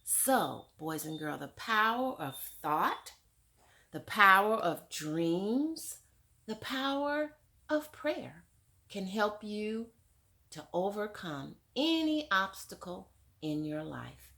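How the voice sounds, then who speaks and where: A woman's voice: medium pitch, sing-song, slow pace, gravelly. A woman reading a picture book aloud to children, then talking it over with them like a teacher and asking them questions. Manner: warm teacherly, asks questions to young listeners. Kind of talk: children's read-aloud with discussion.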